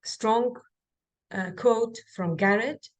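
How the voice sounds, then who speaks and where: Woman, halting speech. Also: on the high side. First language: Kazakh. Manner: halting, with frequent fillers and restarts. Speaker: webinar presenter. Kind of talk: online presentation and Q&A. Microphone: video call.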